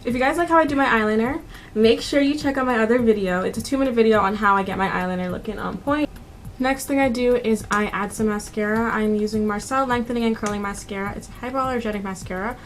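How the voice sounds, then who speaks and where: A woman's voice: fairly high pitch, fast talker. A woman in her twenties narrating a makeup tutorial in a casual, informal, conversational way as a beauty vlogger. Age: 20s. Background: background music.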